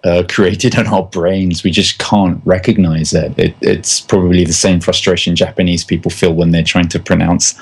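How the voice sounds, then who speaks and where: Low husky guy, chatty and keen, keeps restarting sentences. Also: flat delivery, moderate pace, hardly any pauses. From England. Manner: enthusiastic, restarts phrases often. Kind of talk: casual conversation.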